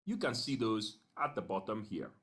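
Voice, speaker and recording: A man narrating an instructional screencast in a measured, step-by-step way, pausing briefly between phrases. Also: lowish voice, lively intonation, fairly bright tone. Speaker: software tutorial narrator.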